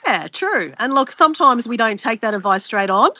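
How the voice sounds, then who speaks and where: A woman's voice: fairly high pitch, very animated, normal speed, bright, forceful tone, no room sound. A woman chatting as a guest on a video call, a bit stuffy and sniffly, rambling with restarts. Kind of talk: casual chat on a video call.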